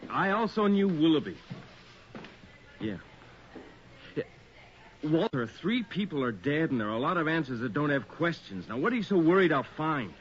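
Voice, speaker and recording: A man's voice: a little high, big pitch swings, moderate pace, pauses often. A man playing a persistent, wry reporter in a radio drama, talking in a needling, conversational way. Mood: wry insistence. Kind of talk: 1980s radio drama acting, one side of a phone call. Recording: muffled, slightly noisy radio recording.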